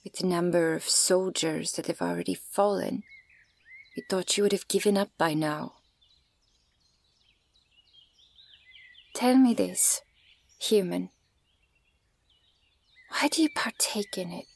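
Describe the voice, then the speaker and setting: A woman playing a stern, guarded, ancient elven archer in an ASMR fantasy roleplay, speaking in a measured, formal and breathy way with a creaky edge. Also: lowish voice. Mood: scornful, probing. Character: stern, guarded female elven archer, centuries old, scornful of humans.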